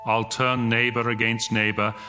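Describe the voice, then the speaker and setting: An actor playing a stern God in a scripture audio drama, speaking in a solemn, deliberate, commanding manner. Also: male voice, mid-range voice, flat delivery, bright, forceful tone, soft background music, close to an everyday voice. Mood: stern, pitiless.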